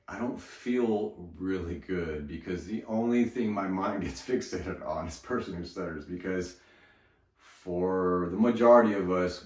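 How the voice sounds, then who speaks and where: A man giving an earnest motivational talk as a speaking coach, repeating phrases for emphasis. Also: sits low. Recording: roomy, from a distance.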